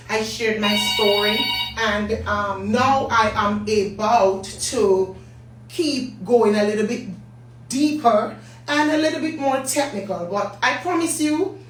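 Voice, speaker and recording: A hoarse middle-aged woman with a Jamaican accent hosts a business talk live stream, chatting and greeting viewers by name. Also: fairly high pitch. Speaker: business talk show host. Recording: echoey room, distant mic, faint hum.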